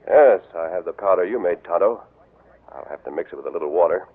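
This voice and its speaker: A bold masked lawman with a very deep, husky voice. He speaks firmly and decisively, heard through a tinny old radio broadcast. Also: expressive, fast talker, full character voice. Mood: determined. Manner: commanding and decisive. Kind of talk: 1940s radio acting.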